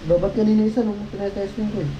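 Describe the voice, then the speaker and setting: A man's voice: high-pitched, steady tone, rapid-fire. A Filipino man chatting casually as a vlogger. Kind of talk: casual vlog chat. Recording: dull audio with loud vehicle noise.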